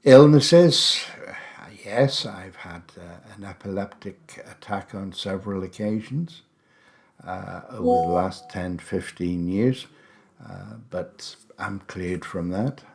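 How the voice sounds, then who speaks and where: A man's voice: low voice, slow, creaky. A man in his seventies, host of a podcast, talking about himself in a chatty, rambling way with wry asides. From England. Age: 70s. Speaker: podcast host, English teacher. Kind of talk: casual personal monologue.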